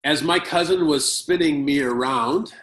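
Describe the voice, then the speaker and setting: An American man tells a story from his childhood, slow and measured. He speaks in short bursts with pauses for an interpreter. The room around him rings with echo. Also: on the high side, fairly bright tone. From Chicago, United States. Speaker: conference speaker. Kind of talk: personal story in a talk. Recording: roomy echo.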